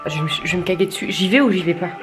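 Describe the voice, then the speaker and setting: A woman's voice: on the low side, racing, over music. A young adult woman chatting casually to camera in a candid, crude, colloquial way, grumbling and poking fun at herself. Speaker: vlogger filming stories. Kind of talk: casual confessional chat to camera.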